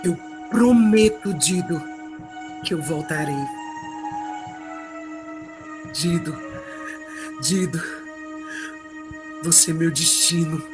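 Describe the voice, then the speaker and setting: A valiant and devoted Trojan hero acted out by a performer in a radio drama. The speech has a Brazilian accent, and music plays clearly behind it. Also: mid-range voice, drawn-out words, long pauses. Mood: tender, remorseful.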